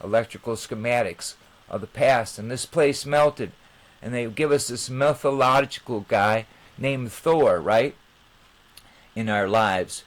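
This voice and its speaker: A man hosting his own channel, talking informally to viewers in a rambling, halting way. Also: medium pitch. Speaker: channel host.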